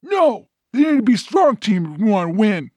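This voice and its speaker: A man's voice: high-pitched, big pitch swings, moderate pace, a little mellow, no echo. A man playing a bossy, greedy ogre in an audio drama, scolding in broken grammar. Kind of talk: audio drama character acting.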